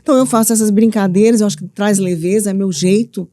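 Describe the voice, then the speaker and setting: A middle-aged woman from Minas Gerais with a Minas accent, telling her story as a studio interview guest with lively, playful earnestness. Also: mid-range voice, fast talker, no room sound. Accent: Minas Gerais Brazilian Portuguese. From Minas Gerais, Brazil. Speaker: guest, author and mentor.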